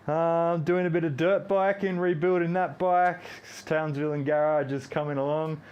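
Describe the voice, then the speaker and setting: A man's voice: a little high, fairly even intonation, moderate pace, clean tone, dull audio. A man, hosting a fitness live stream, rambling and joking while he does squats and counts reps aloud. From Australia. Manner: talks while doing squats.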